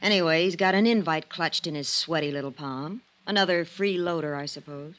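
An actress playing a brittle, tough magazine writer in an old-time radio drama, sardonic, slangy and sly. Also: female voice, low-pitched, a little fast, a bit bright.

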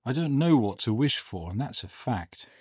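Man putting on a husky, curious old man. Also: mid-range voice, very animated, normal speed, darkish tone, no echo, studio quality, pitched above the performer's own voice. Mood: sheepish, unsure. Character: curious, hesitant old father and husband. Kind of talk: dramatised storybook character reading.

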